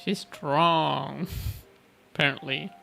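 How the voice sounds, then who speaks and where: A woman's voice: sits low, fairly even intonation, extremely slow, vocal fry, pushed and bright, soft background music. A woman streaming a video game with casual commentary, teasing and talking back to the game.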